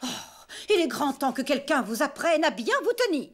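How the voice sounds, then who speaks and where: A woman voicing a prim, bossy, fault-finding babysitter in an animated cartoon, with a fussy, haughty, scolding way of talking. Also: sits high, normal speed. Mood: disdainful. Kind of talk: animated cartoon dub acting.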